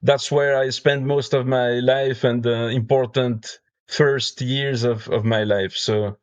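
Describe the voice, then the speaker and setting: A man's voice: mid-range voice, steady tone, slow, highs cut off. A man in his forties from Belgium with a French accent, talking casually as a podcast guest, with many fillers and restarts. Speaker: guest, brewer and beer judge.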